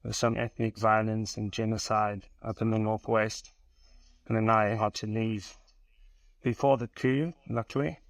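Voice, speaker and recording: Podcast guest: South African man, thirties, even low delivery. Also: dry recording. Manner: relaxed, even storytelling with pauses. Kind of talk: casual interview.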